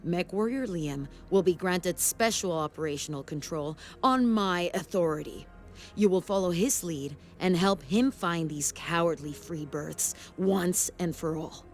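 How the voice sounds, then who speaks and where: Stern female sci-fi officer acted by a woman, lowish pitch, clipped formal orders, game cutscene with music behind. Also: measured pace, natural voice. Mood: stern, commanding. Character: stern woman military commander of a clan mech unit. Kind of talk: video game cutscene acting.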